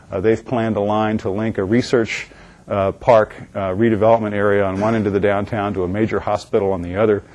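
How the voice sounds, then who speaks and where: A man's voice: lowish voice, a little mellow. A man giving a seminar lecture with slides, enthusiastic, with many fillers. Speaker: seminar speaker. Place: lecture room.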